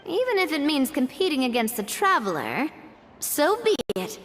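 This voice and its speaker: A woman's voice: high-pitched, very animated, moderate pace, a bit bright, slight background noise. A woman playing a confident, plucky young woman in a video game, with a breezy manner. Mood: determined.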